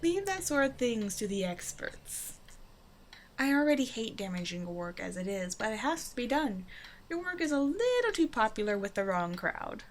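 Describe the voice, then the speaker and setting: A woman's voice: on the high side, sing-song, moderate pace. Flirty, self-assured villainess, acted by a woman, noisy background. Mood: confident, mildly exasperated. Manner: teasing, casual, self-assured. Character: flirtatious female supervillain, a demanding but generous tailor's customer. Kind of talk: roleplay audio drama, intimate one-sided dialogue to listener.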